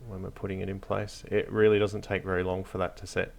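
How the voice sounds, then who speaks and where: A man hosting a hobby tutorial, narrating casually off the cuff in a hesitant, low-key, encouraging way. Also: flat delivery, fast talker, gravelly. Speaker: hobby craft tutorial host.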